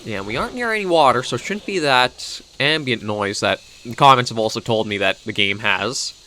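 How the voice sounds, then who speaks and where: Lively Canadian man with a cold, bright voice. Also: mid-range voice, a little fast, slight background noise. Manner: rambling, thinking aloud. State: coming down with a cold. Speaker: gaming video host. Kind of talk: casual gameplay commentary.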